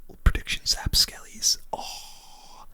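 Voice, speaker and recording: A person giving casual, slangy, mildly grumbling gaming commentary in a breathy ASMR whisper. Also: measured pace, bright, forceful tone. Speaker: gaming video host.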